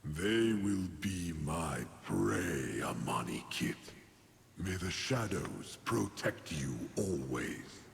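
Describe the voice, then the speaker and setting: A man voicing a solemn ancient great cat spirit in a fantasy game, speaking gravely and ceremonially. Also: deep voice, sing-song, slight background noise, full character voice. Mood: solemn, ominous. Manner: grave, slow, ceremonial pronouncements. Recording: loud music behind the voice. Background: loud game music.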